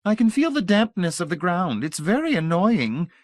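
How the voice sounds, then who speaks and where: A gushing, syrupy matron with a sweet menace under every word, as a male narrator puts her on. She sings her words high and bright and sweeps through a wide, lively range. The voice sits close and dry, with no room around it. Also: normal speed, dry recording. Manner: gushing, syrupy and matter-of-fact.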